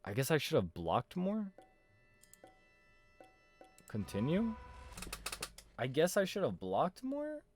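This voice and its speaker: A man doing casual let's play commentary, reading visual novel text aloud and thinking out loud. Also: on the high side, wildly swooping pitch, slow pace, pauses often, over music. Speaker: video game streamer.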